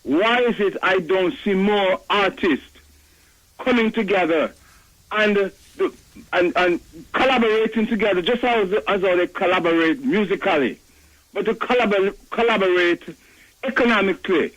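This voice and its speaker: An older man calling in by phone to a radio show, making an earnest, measured point with some halting restarts. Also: high voice, fairly bright tone, low-quality recording. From Jamaica. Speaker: caller. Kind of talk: call-in comment. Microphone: telephone.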